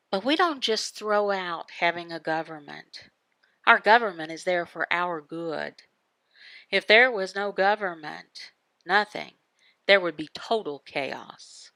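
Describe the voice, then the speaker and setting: A woman giving a devotional Bible teaching in short, halting phrases, often asking questions and answering them herself. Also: on the low side, lively intonation, vocal fry, sharp tone, dry recording. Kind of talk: devotional teaching voicing a signed sermon.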